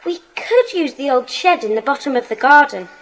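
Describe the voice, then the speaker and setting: Keen, high-voiced little schoolgirl. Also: lively intonation, normal speed, poor audio. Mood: keen, cheerful. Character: keen, helpful young schoolgirl in a secret club. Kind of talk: children's audiobook dramatisation.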